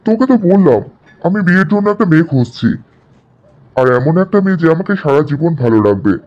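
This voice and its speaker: Man playing an eager, high-voiced young bachelor. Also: very animated, fast, a little mellow. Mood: intrigued. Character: eager, confident young bachelor seeking a bride. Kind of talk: cartoon dialogue. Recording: dull, muffled audio.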